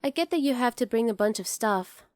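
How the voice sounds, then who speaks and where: A very high, girlish bunny girl, played by a woman, recorded close and dry for an ASMR roleplay. She is teasing and outdoorsy, curious about humans, and blunt in a casual, playful way. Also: lively intonation, brisk, darkish tone, dry recording, natural voice. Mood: eager, softly affectionate. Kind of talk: ASMR roleplay addressed to the listener.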